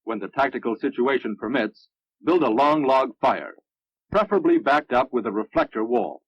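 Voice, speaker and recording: An American man reading scripted narration for a military instructional film in a measured, instructive manner. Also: mid-range voice, expressive, breathy edge, no echo. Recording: muffled, dry vintage film soundtrack.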